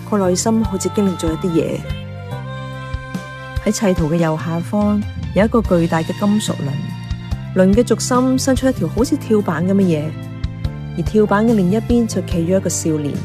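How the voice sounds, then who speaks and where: A woman's voice: medium pitch, natural voice. A woman narrating a radio drama in the first person as an observant café worker, in a scripted storytelling style.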